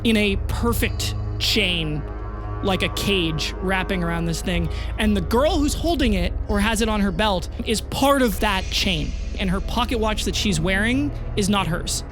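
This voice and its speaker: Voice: medium pitch, unhurried. Game master narrating over music. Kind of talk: narrating an audio drama tabletop fantasy game. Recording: music under the voice. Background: background music.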